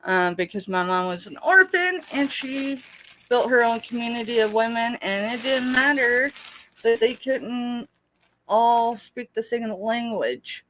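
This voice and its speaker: A woman's voice: fairly high pitch, unhurried, a bit bright, slight background noise, rough recording. A middle-aged American woman hosting a casual live sale, chatty and rambling as she talks familiarly with her viewers. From United States, born in Texas. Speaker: live sale host and small seller.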